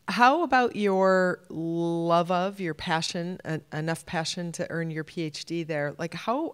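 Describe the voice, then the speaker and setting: A woman's voice: lowish voice, clear, resonant tone. A middle-aged woman hosting a live campus interview, asking questions in a friendly, curious way into a handheld mic. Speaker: university president hosting. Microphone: handheld microphone.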